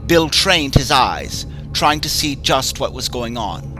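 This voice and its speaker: A man narrating a horror story in a measured, ominous way, over background music. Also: mid-range voice, very animated. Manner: slow, measured, ominous delivery. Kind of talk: dramatic horror story reading. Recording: music bed under voice.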